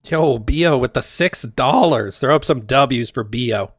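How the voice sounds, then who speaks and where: A man streaming and ranting casually, heated and exasperated, swearing often, his voice going hoarse. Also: mid-range voice, a little slow, no room sound, studio quality. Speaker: game streamer. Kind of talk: casual livestream rant.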